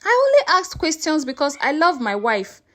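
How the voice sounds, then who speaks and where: A woman's voice: high-pitched, huge pitch swings, moderate pace, a bit bright, full character voice. A woman storyteller voicing an anxious married man who speaks carefully and defensively. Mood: uneasy, defensive. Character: anxious married man, polite, pleading with hostile in-laws.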